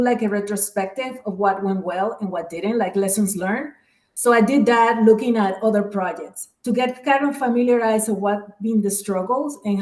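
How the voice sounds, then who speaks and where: A woman from the Dominican Republic speaks at a mid pitch on a video call, telling about her work in a relaxed, loose way. Her voice rings in a roomy, echoing space, and she leans on fillers like "like" and "um". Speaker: guest speaker, program manager. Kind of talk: casual webinar chat.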